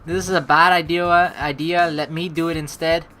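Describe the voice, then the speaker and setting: A woman hosting a let's play in an excitable, reactive way, commenting casually and reading narration aloud. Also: low voice, normal speed, soft background music.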